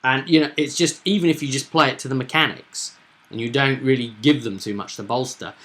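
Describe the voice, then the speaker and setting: A man's voice: mid-range voice, a little fast, a bit bright. A man hosting a casual recap of a tabletop roleplay session, telling it as a story and acting out bits of dialogue and sound effects.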